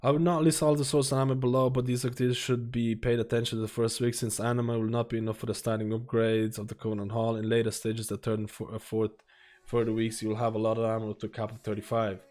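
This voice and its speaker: A man live-streaming, reading a guide and viewer questions aloud in a halting, casual way and breaking into asides while losing his voice. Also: mid-range voice, monotone, darkish tone.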